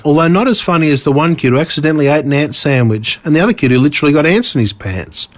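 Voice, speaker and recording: An Australian man reads a children's story as a narrator, expressively and with dramatic pauses on key words. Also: fairly high pitch. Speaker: author reading own story.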